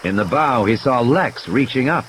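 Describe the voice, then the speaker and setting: A man narrates an audiobook story in a scripted, dramatic style. His mid-pitched voice moves widely up and down, with faint noise and nature ambience behind it. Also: animated, normal speed, fairly bright tone, low-quality recording.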